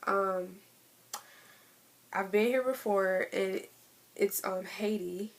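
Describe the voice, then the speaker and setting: A woman of twenty in a casual vlog, chatting in a relaxed way with many fillers as she answers tag questions. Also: mid-range voice, takes it slowly. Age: 20s. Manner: chatty, laid-back, lots of fillers. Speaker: vlogger. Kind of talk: casual tag video chat.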